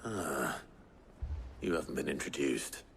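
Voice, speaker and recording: An actor playing a brooding but kind warrior leader in a video game, speaking in a terse, grave and earnest way. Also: male voice, very deep voice, sing-song, takes it slowly, vocal fry, a little hiss or hum, exaggerated voice. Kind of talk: dramatic video game acting. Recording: faint game music underneath.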